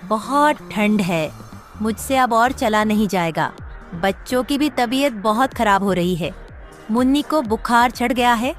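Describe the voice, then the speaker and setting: A woman voicing a worried poor village wife and mother, plaintive and fretful, in an animated moral story. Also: fairly high pitch, a little slow, faint music behind. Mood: distressed.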